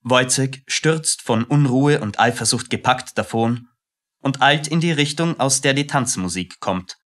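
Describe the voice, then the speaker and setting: A man narrates a radio play from a script. His voice is medium in pitch with a bright, forceful edge. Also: unhurried.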